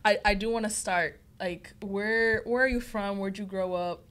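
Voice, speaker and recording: A young woman with a mid-range voice hosts a studio podcast in an upbeat, chatty way. She asks questions into a desk microphone and fills her guest's pauses with encouraging murmurs. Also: mid-range voice, steady tone, a bit bright. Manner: upbeat, many "mm-hmm" backchannels. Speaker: podcast host. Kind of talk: casual podcast interview. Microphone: desk podcast mic with headphones.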